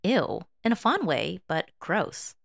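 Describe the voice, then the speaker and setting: Casting: female reader as evasive high school boy for audiobook dialogue. Breezy, dismissive, very animated intonation. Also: slow, gravelly, no room sound, clean studio sound, heavily acted. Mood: playful disgust. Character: teenage male high school student, flippant and evasive.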